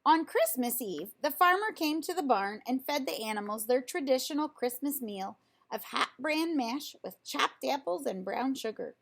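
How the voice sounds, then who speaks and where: Higher-voiced woman reading a children's story. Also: normal speed, gravelly, slight background noise. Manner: expressive, emphatic storytelling for young children. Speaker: storybook reader. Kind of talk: read-aloud children's story with dramatic emphasis.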